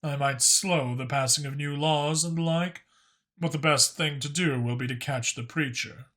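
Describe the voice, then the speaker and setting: A man voicing a composed, authoritative dragon spymaster in an audiobook, measured, formal and a little wry as he explains things. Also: fairly high pitch, sharp tone. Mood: grave, deliberate. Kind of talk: audiobook character acting.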